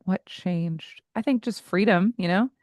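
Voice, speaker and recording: A woman in her forties, a voice coach and guest in an interview, who talks candidly in quick asides and laughs easily. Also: on the low side, darkish tone, dry recording.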